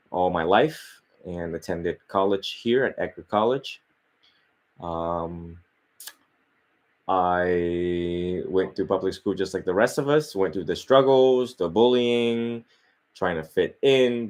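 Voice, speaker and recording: A man in his thirties, a monk from Vietnam, speaks with a very low, deep voice. He tells his story in a relaxed, open and unhurried way, like a guest chatting on a podcast. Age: 30s. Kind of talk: casual interview, life story.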